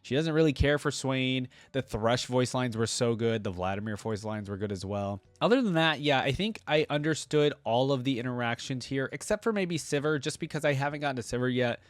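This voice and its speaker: A man's voice: mid-range voice, animated, fairly quick, hardly any pauses, no room sound. Enthusiastic young man, dry close recording. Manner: rambling, enthusiastic, frequent "like". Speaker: reaction video host. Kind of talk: casual reaction commentary.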